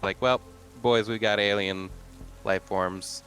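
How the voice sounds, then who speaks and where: A man's voice: on the low side, fairly even intonation, slow pace, fairly bright tone, soft background music, poor audio. A man chatting casually as a player in a tabletop game, wry and hesitant as he thinks aloud.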